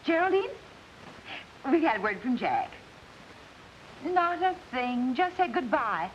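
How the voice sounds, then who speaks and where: A woman's voice: high voice, very animated, slow, full character voice. An actress playing an anxious mother of a young soldier in a 1940s film. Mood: worried, bewildered. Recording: muffled, faintly hissy 1940s film soundtrack.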